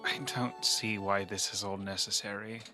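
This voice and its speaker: A man's voice: fairly low pitch, little pitch movement, moderate pace, over music. A man playing a tabletop game on a podcast, improvising in character and narrating with a dry, resigned delivery.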